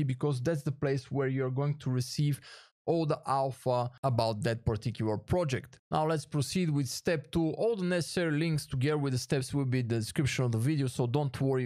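A man's voice: medium pitch, dark tone, dry recording, clean studio sound. A man hosting a casual step-by-step screen tutorial, thinking aloud with some hesitation as he demonstrates. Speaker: tutorial host.

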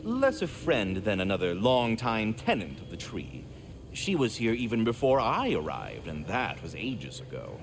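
Posh-worded polite big squirrel, theatrical, swoops up and down loads. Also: male voice, fairly low pitch, wildly swooping pitch, a little slow, slight background noise, full character voice. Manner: verbose, formal, flowery diction. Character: courteous, genteel giant immortal male squirrel, fatherly. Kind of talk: theatrical video game acting.

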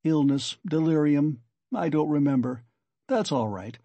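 A man's voice: on the high side, unhurried, warm tone, dry recording, highs cut off. A man voicing a sly, mocking examining lawyer in an audiobook, with playful, ironical good humor. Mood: ironic, needling. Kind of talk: dramatic audiobook character reading.

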